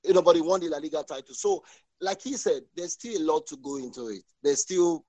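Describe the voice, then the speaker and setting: A man debating football on a casual sports podcast, halting and restarting his phrases. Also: a little high, warm tone. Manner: halting, restarts words, frequent agreeing "exactly". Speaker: podcast panelist.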